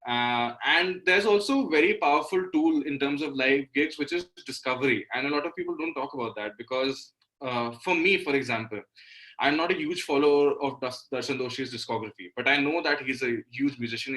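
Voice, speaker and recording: Interview guest: young adult male, Indian accent, crisp bright tone, earnest and explanatory delivery. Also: mid-range voice, poor audio. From Delhi, India. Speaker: interview guest, indie singer-songwriter. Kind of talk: casual conversational interview answers.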